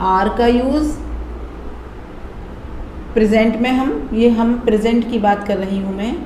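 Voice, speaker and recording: A woman teaching English grammar, explaining patiently and repeating example sentences. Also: on the high side. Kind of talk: classroom explanation mixing Hindi and English. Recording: echoey room with faint noise.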